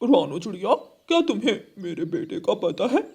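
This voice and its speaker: A man's voice: sits high, very animated, normal speed, creaky, darkish tone, breathy edge, exaggerated voice. A man voicing a doting, emotional crow father in a children's cartoon, with a plaintive manner. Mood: worried. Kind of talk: children's animal cartoon voice acting.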